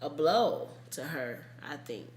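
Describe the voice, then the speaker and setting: A woman's voice: deep voice, expressive, slow pace, a little mellow, a little hiss or hum. A woman co-hosting a podcast, chatting casually about true crime in a blunt, colloquial way with plenty of swearing. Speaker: podcast co-host. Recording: echoey room.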